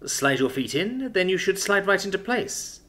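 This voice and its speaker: A man's voice: high voice, sing-song, normal speed, a bit bright, pitched above the performer's own voice. A man putting on a curt female captain. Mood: exasperated. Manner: curt, sarcastic. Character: brusque, exasperated female military captain.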